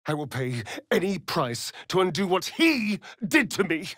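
A man's voice: high-pitched, big pitch swings, measured pace, fairly bright tone, no echo, studio quality, full character voice. A man playing a vain, sardonic vampire rogue in a fantasy video game, with an arch, flamboyant drawl. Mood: bitter resolve.

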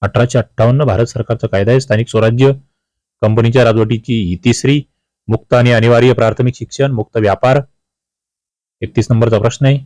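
A man's voice: medium pitch, steady tone, a little fast, a little mellow, no room sound. Man teaching, close dry sound. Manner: didactic, poses questions then answers them. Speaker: exam coaching teacher. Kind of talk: exam question walkthrough, explaining answers.

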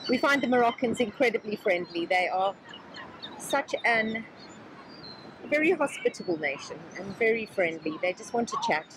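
A woman chats casually from behind the camera, mid-pitched and talkative. She addresses viewers and her partner in an easy flow. Beach wind and seagulls are clearly audible behind her. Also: animated, normal speed. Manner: chatty, friendly, talks to the viewer from behind the camera. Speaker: co-host filming the painting. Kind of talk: casual chat. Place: outdoors on a beach. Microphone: camera mic, filming. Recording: outdoor noise, gulls. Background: seagulls and beach ambience.

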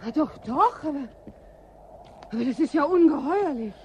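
High-voiced, uneasy female newcomer. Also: animated, moderate pace, a little mellow, slight background noise. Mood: uneasy, astonished. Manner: questioning, anxious. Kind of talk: German radio play acting.

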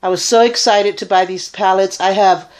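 A woman's voice: medium pitch, fairly even intonation, moderate pace. A Puerto Rican woman in her thirties, chatting her way through a casual makeup tutorial into a webcam in a small room, with plenty of ums. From Puerto Rico. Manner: chatty, rambling, filler-heavy. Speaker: beauty vlogger. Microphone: webcam at arm's length.

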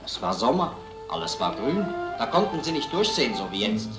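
A man's voice: medium pitch, very animated, a little fast, natural voice. An actor playing a sombre local man giving a witness's account in a crime drama. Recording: echoey soundtrack with music underneath.

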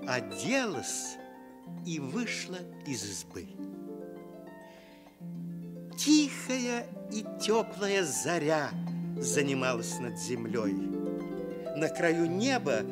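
Male radio storyteller: high, animated voice, tender fairy-tale delivery with music underneath. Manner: tender, dramatic pauses. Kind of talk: expressive fairy-tale narration, Soviet radio.

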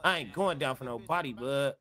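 A man's voice: medium pitch, fairly quick, bright, forceful tone. A young man improvising street-gang roleplay in a video game, with slangy, profane talk. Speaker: roleplay gamer.

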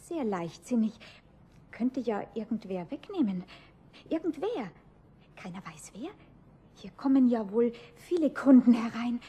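Sly high-voiced saleswoman. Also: sing-song, unhurried, warm tone. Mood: sly, tempted. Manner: muttering to herself. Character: sly female travelling saleswoman, light-fingered and self-justifying. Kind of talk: 1970s radio play acting. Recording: 1970s radio play, faint background noise.

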